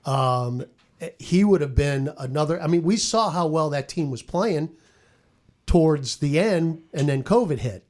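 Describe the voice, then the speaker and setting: A man with a fairly high voice for a male speaker chats on a sports panel. He sounds like a radio announcer in casual roundtable conversation. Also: animated, a little slow, darkish tone.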